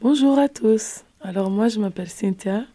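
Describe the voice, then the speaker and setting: A woman in her twenties, originally from Cape Verde, introduces herself as a guest in a studio. Her voice is very dark and mellow, and her plain manner carries a few fillers. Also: medium pitch, moderate pace. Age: 20s. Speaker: podcast guest, psychology student.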